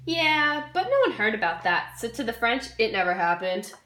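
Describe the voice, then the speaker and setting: A woman's voice: a little high, very animated, moderate pace, a bit bright. Jokey young woman in an echoey room. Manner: jokey, informal. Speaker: student presenter. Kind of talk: scripted history skit, casual wisecracks. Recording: roomy, echoey room sound.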